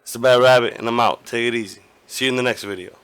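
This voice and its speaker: A hoarse man hosting a gaming channel, giving his opinion casually, rambling and restarting often and swearing now and then. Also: mid-range voice, steady tone, fast talker, fairly bright tone, no echo. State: hoarse, partly lost voice.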